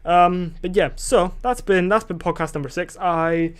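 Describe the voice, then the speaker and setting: Young adult male solo podcaster, slightly high voice; rambling, self-doubting delivery with casual swearing. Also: moderate pace, smooth. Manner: rambling and self-questioning, loses his train of thought. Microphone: desk microphone.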